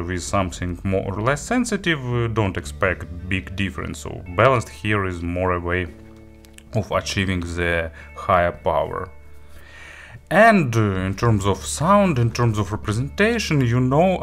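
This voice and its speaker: A man giving a casual spoken product review as an audio gear reviewer, in a halting way with frequent filler words. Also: low-pitched, fairly bright tone.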